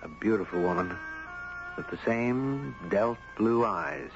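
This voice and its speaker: Radio play lead: earnest, searching, entranced fellow; dull, very noisy vintage sound. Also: male voice, on the low side, lively intonation, takes it slowly. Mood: narrating. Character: earnest, inquisitive man, entranced by a strange girl. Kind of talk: 1970s radio drama acting. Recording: muffled, noisy 1970s radio recording.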